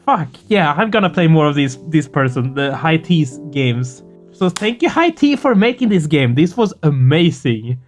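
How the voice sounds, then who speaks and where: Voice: mid-range voice, very animated, moderate pace, soft background music. A gaming video host chatting casually and effusively, earnest and prone to swearing. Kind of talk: casual excited chat after a game playthrough.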